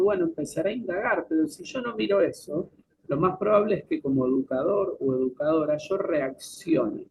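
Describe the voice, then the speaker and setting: A man with a Rioplatense Spanish accent, a psychiatrist guest in an interview, explaining in a lively, teacherly way and posing questions to himself before answering them. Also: fairly high pitch, vocal fry, slight background noise, rough recording.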